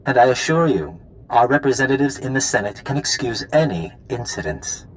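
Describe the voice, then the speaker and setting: A man's voice: medium pitch, very animated, moderate pace, a little hiss or hum, natural voice, rough recording. A man playing a smug, polite corporate enforcer villain in a video game, talking with suave mock courtesy. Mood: smoothly threatening.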